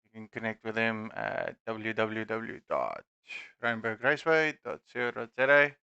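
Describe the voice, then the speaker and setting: A man's voice: fairly low pitch, measured pace, vocal fry, sharp tone, smooth, dry recording, dull audio. A middle-aged man with a Dutch accent hosting a live sim racing stream, talking in a loose, self-deprecating way with plenty of fillers through a headset. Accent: Dutch-accented English. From South Africa. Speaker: stream host and league admin. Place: home room at a desk. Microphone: gaming headset.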